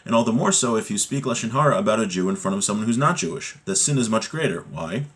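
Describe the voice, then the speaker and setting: A man's voice: fairly low pitch, lively intonation, fast, a bit bright, slightly rough. A man giving a religious lesson as a teacher, explaining a text and often posing rhetorical questions to check understanding.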